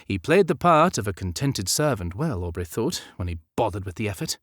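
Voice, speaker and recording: A man narrates a historical novel in a lowish voice. His storytelling is measured and dramatic. The sound is dry and studio-close. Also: big pitch swings, no room sound. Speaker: audiobook narrator.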